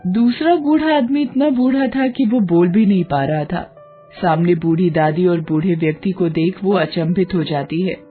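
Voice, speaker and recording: A woman narrating a cartoon story in a measured storytelling manner. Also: fairly low pitch, steady tone. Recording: dull lo-fi audio, faint music.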